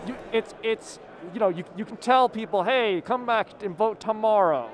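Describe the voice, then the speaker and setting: A man in the audience asking a long question, halting and restarting as he thinks aloud. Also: high-pitched.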